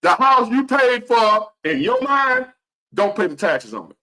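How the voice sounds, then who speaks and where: Rich: A man in his fifties with a high, bright voice gives a motivational talk straight to his viewers. His pitch leaps up and plunges down as he drives points home, repeating key phrases and dropping to a hush before rising again. The delivery is earnest and preachy. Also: very animated, measured pace. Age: 50s. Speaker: motivational vlogger.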